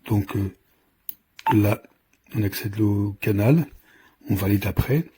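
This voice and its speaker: A man's voice: on the low side, takes it slowly, mellow, slight background noise. A man giving an informal hands-on product demonstration, explaining in a hesitant, improvised way as he goes. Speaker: product reviewer.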